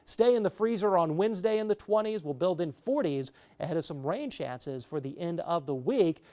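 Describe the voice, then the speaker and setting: A man with a fairly high, bright voice gives the morning weather on local TV. He talks in a chatty, easygoing way, with a few fillers and self-corrections. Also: lively intonation, moderate pace. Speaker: meteorologist. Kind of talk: TV weather forecast.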